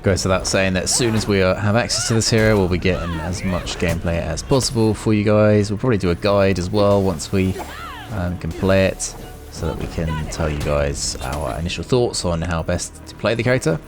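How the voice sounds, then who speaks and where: Low-voiced man giving gaming commentary. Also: a little fast, few pauses, over music. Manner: informal, chatty, rambling. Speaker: gaming video host. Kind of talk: casual gameplay commentary. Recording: noisy with clear background noise.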